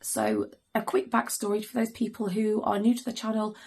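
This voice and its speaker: A middle-aged mother with a hoarse voice, hosting a serious vlog in a hesitant, earnest way with many pauses and ums. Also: medium pitch, sing-song, darkish tone. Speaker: mother and channel host.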